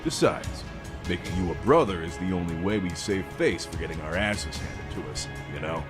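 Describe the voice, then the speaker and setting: An actor playing a brash, hot-headed gang boss in a video game dub, with slangy, swaggering tough talk. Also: male voice, sits low, moderate pace, natural voice. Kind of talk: English-dub video game cutscene acting. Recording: music behind the voice.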